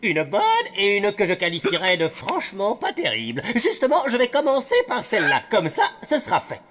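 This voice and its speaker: An actor playing a brisk, falsely cheerful, bossy quack doctor in a French cartoon dub, theatrical and patronising. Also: male voice, sits high, big pitch swings, fairly bright tone, exaggerated voice.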